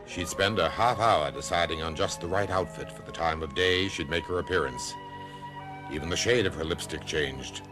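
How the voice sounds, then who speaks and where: An actor playing a wary, wry travel companion who also narrates a radio mystery drama. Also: male voice, low-pitched, moderate pace, natural voice. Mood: wry narration. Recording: music behind a radio drama.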